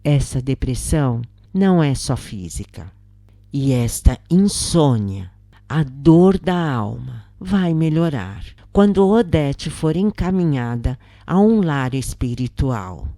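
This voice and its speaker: Woman reading as a solemn, low-voiced Brazilian spiritual counselor. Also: expressive, slow pace, warm tone, dry recording, clean studio sound, heavily acted. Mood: solemn, admonishing. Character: calm, admonishing female spiritist counselor. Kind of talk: storybook narration dialogue.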